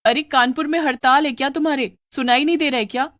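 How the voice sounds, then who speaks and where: An outspoken young wife in a village storybook drama, acted by a woman. Her voice is fairly high and expressive, with a bold melodramatic delivery, recorded dry. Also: fast talker, no room sound. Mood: indignant. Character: outspoken young village sister-in-law, bold and quick-witted.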